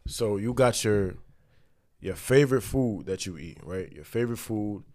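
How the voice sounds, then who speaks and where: A man with a smooth voice, joking and telling stories in a laid-back, casual podcast chat. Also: lowish voice, animated, normal speed, warm tone.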